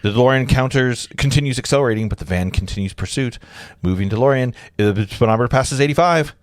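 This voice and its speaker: A man's voice: mid-range voice, fast, no echo. A man narrating screenplay directions in an informal live read, stumbling and correcting himself with amused asides.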